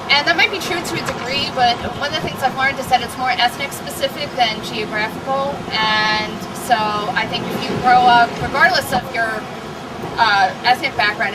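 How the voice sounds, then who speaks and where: A woman with a New York accent, a documentary filmmaker answering interview questions outdoors in a chatty, earnest way, thinking aloud with fillers. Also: fairly high pitch. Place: street outdoors in a city. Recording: distant mic, loud street traffic. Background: buses and city traffic.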